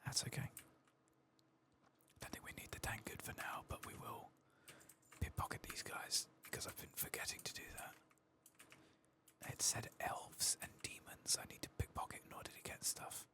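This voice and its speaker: A man giving soft-spoken, partly whispered ASMR commentary on a game, murmuring and musing to himself close to the microphone. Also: normal speed, long pauses. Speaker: gaming channel host.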